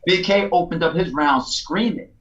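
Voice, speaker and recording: A man's voice: on the high side, normal speed, a bit bright. Laid-back man with a muffled sound. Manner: laid-back, repeats "you know what I'm saying". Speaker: battle league owner, guest. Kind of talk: casual podcast chat.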